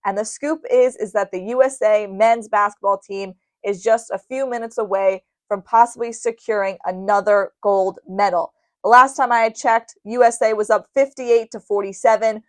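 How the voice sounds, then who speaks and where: Woman, sports radio host, emphatic commentary, medium pitch, dry close studio sound. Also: dry recording.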